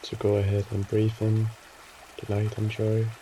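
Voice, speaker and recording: A man leads a guided meditation in a mellow, soft-edged voice that stays very even. He gives gentle, soothing instructions in short phrases with pauses, while water trickles faintly behind him. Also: fairly low pitch, a little hiss or hum, poor audio.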